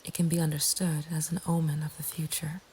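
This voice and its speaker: A woman narrating a scripted horror story in a breathy ASMR whisper, with a hushed, deliberate delivery. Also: deep voice, flat delivery. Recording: faint ambient background noise. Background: soft nature ambience.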